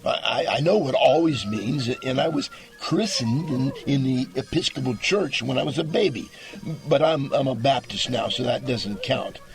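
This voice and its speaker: Man playing a stammering, confused dimwit, mid-pitched. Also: lively intonation, moderate pace, vocal fry, faint music behind, rough recording. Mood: defensive, confused. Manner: stammering, hesitant. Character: Brick, confused, stammering, childishly earnest dim man. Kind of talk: scripted comedy dialogue.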